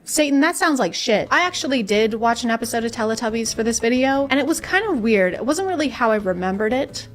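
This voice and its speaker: A woman giving comedic reaction commentary with a sarcastic, deadpan joking manner. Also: a little high, quick pace, hardly any pauses, faint music behind. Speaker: commentary video host.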